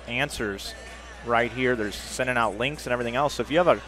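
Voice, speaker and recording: A man's voice: medium pitch, a little fast, bright, forceful tone. A man hosting a live stream, casual and agreeable, on a headset mic. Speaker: show host. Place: busy trade show hall.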